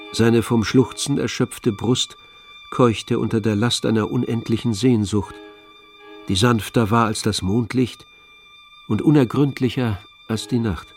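Male radio drama narrator, medium pitch, literary storytelling, light music bed. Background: faint string music.

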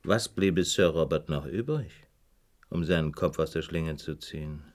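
A man in a radio play acting a shrewd, self-assured detective who lectures in a measured, wry, teasingly superior way. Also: a bass voice. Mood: lecturing, probing.

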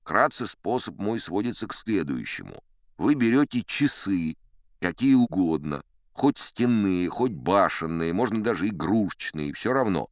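An actor playing a pompous old sea captain spinning tall tales with self-assured, mock-scholarly digressions in a theatrical audiobook style. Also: male voice, low-pitched, very animated, measured pace, dry recording, studio quality, heavily acted. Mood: self-satisfied, explaining.

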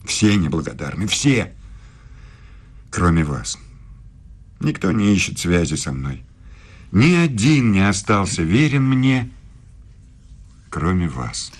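An actor in a radio play voicing a proud, aggrieved older German philosopher, formal, measured and self-justifying. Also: male voice, sits low, very animated, husky, heavily acted. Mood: bitter, indignant. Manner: measured, formal, deliberate speech.

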